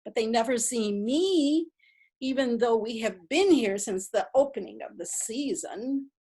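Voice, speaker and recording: A woman playing a modest, elderly widow, mild and gently reproachful, in a readers theatre play. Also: a little high, animated, unhurried, husky.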